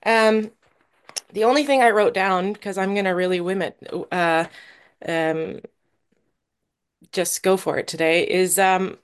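A woman speaking as the guest at a recovery meeting, sharing her own story in a halting, earnest way with many pauses and restarts, and a little nervous. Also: mid-range voice, fairly even intonation, clean tone. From Canada. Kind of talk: personal recovery share.

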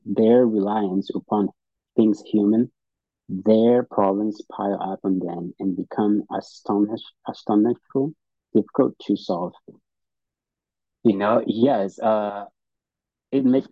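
A man's voice: lowish voice, husky, dry recording. A man from Brazil with a Brazilian Portuguese accent, speaking at a recovery meeting. He gives a personal testimony and reads aloud, stumbling, repeating words and often restarting. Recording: dull lo-fi dry audio.

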